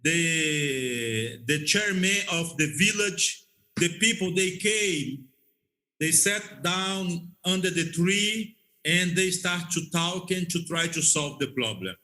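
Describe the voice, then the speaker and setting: A man who is a non-native English speaker, telling stories as an interview guest in a halting, repetitive way and checking that he is understood. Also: a little high, lively intonation, sharp tone, poor audio. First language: not English. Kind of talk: conversational storytelling.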